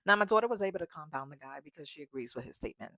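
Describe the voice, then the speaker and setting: A woman's voice: deep voice, lively intonation, rapid-fire, bright, forceful tone, dry recording, studio quality. A woman ranting in a travel vlog, emphatic and exasperated, swearing freely. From United States. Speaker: vlogger.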